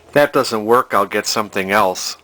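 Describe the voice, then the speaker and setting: A man's voice: on the low side, moderate pace, sharp tone. An older man, casually narrating a repair as a hobbyist, rambling and hesitating as he thinks aloud. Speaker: hobbyist vintage TV restorer.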